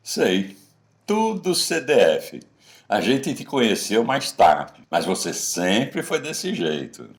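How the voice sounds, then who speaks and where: A man's voice: mid-range voice, big pitch swings, slow. A man playing a blunt middle-aged ringleader among old friends in a radio drama. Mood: wry.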